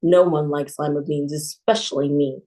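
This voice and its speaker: A woman's voice: low-pitched, fairly even intonation, moderate pace, mellow, close to an everyday voice. A woman voicing a young girl who whines and cries out. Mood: whiny. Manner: whiny, cries out. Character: young girl with a strange illness.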